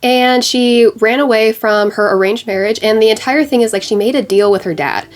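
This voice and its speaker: A woman's voice: a little high, moderate pace. A young woman with a congested, stuffy voice from a cold, chatting casually as a beauty vlogger while doing her makeup, full of bubbly "like" fillers. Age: young adult.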